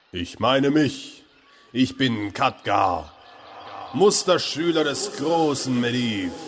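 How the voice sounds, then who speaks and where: A man's voice: mid-range voice, a little hiss or hum. A man playing a pompous, conceited master mage who talks down to others in a parody audio drama. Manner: pompous, grandly slow.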